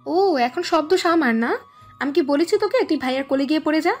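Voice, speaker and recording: A woman plays a meddling, teasing little sister with a high voice, quick to take offence. It is an audio love story with faint music underneath. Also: expressive.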